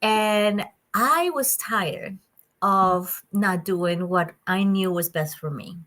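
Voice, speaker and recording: A woman from Colombia with a Colombian Spanish accent, speaking as a psychologist guest in a conversational interview, animated and quick to laugh. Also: mid-range voice. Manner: animated, laughs often, bright delivery.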